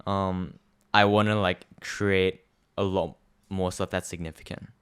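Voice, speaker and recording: A man's voice: sits low, level tone, a little slow, smooth. A young man co-hosting a podcast, chatting casually and reflectively, rambling with many fillers. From Australia. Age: young adult. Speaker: podcast co-host. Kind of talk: casual chat.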